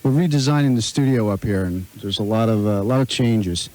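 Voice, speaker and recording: A man's voice: on the low side, brisk, warm tone, poor audio. American man hosting casual radio interview. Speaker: radio show host. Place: radio studio.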